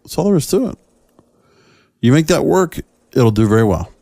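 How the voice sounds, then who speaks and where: A man's voice: medium pitch, very animated, normal speed, gravelly, mellow, no room sound, studio quality. A man hosting a solo podcast, giving opinionated commentary in a conversational, emphatic way and addressing listeners directly. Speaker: podcast host.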